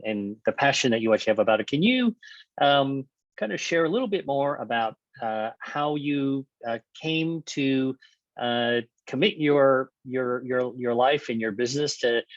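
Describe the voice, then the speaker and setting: A man hosts a webinar over a video call, his words coming in halting bursts with repeats and fillers. The sound is dry and a little dull. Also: medium pitch, no echo. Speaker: webinar host. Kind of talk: conversational interview.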